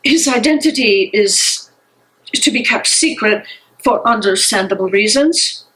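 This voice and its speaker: A woman in a dramatic stage reading playing a resolute anti-Nazi conspirator, deliberate and earnest. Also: mid-range voice, animated, fairly bright tone, poor audio. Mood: guarded, grim.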